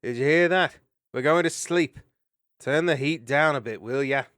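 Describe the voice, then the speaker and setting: A jovial, teasing young mouse warrior, as a man puts him on, a bit brighter than the reader's own tone. His speech lifts and falls freely, and the storybook recording is close and dry. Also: mid-range voice, big pitch swings, a little slow, dry recording. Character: easygoing, joking young male mouse warrior.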